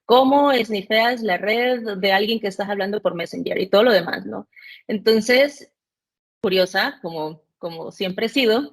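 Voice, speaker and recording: A woman's voice: medium pitch, rough recording. Woman in her 40s, chatty guest, lots of fillers. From born in Mexico, raised in El Salvador. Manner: chatty, with many fillers and restarts. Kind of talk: casual interview chat.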